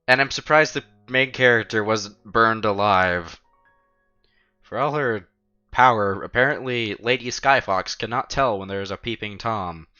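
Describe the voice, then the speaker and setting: A man's voice: lowish voice, measured pace, clear, resonant tone, lo-fi sound. A man streaming a visual novel, reading it aloud dramatically, acting out the lines and adding casual asides. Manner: animated, acts out protagonist's lines. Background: soft game music.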